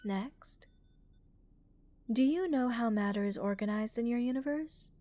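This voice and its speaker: A woman voicing a curious, calm female alien scientist in a relaxing ASMR roleplay, speaking in a measured, clinical and gently reassuring way. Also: a little high, slight background noise, lightly acted. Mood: thoughtful, measured.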